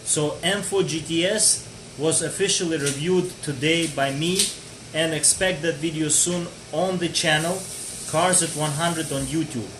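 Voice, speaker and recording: A man's voice: a little high, fairly even intonation, unhurried. A man from Georgia hosting a casual live stream chat, chatty and joking as he answers viewers. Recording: echoey room with faint noise.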